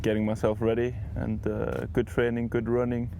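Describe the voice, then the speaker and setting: A man's voice: mid-range voice, completely flat delivery, normal speed, creaky, warm tone, slight background noise. A man in his twenties from Iceland, whose first language is Icelandic, chatting in a casual interview as a football player and often saying "you know what I mean". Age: 20s.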